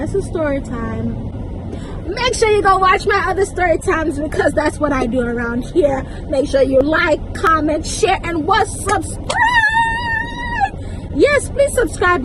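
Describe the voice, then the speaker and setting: A woman's voice: high-pitched, background noise. A young adult woman tells a casual storytime to viewers in an exasperated, emphatic way, repeating words and firing off rhetorical questions. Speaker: storytime vlogger.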